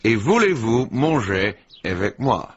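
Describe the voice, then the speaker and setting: An actor playing an amiable, playful gentleman suitor in a radio comedy drama, jaunty and a little hesitant. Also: fairly low pitch, big pitch swings, measured pace, dull audio, slight background noise.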